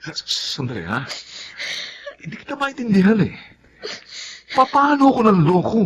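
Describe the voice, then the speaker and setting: A man's voice: sits high, wildly swooping pitch, normal speed, fairly bright tone, exaggerated voice. A man playing an earnest, mild-tempered young boyfriend in a Tagalog radio drama, explaining himself. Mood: flustered. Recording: muffled audio with background noise.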